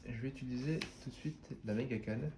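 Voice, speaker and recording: A man's voice: medium pitch, brisk, mellow, a little hiss or hum, rough recording. A man with a stuffy head cold, casually explaining his moves in a card game match with many fillers. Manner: chatty, explains each move with many fillers. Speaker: card game player and channel host.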